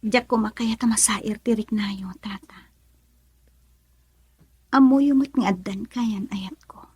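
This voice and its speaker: An actress with a Filipino accent playing a sweet, tender young rural daughter in a radio drama. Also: female voice, medium pitch, a little slow, a little mellow, breathy edge, no room sound. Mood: hushed, hurt.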